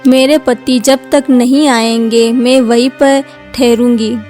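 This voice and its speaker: A woman voicing a hungry demoness who poses as a helpless young woman in a cartoon story, with drawn-out delivery. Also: on the high side, steady tone, darkish tone, soft background music. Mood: meek, obliging.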